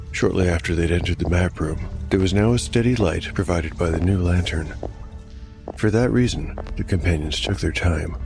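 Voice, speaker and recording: A man with a very low voice reads dark fantasy narration as a game master. He is solemn and measured, enunciating each phrase. Music plays clearly behind the words.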